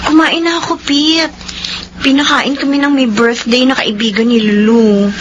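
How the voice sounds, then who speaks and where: A woman's voice: high voice, fairly even intonation, moderate pace, muffled recording, faint music behind, close to an everyday voice. An actress playing a modest, reluctant and dutiful young woman in a Filipino radio drama. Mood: apologetic, explaining.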